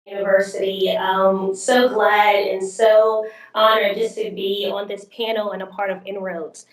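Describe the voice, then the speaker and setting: A young woman speaking as a panelist on a video call, enthusiastic, with many pauses and "um"s. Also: mid-range voice, flat delivery, reverberant, poor audio. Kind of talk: virtual panel discussion.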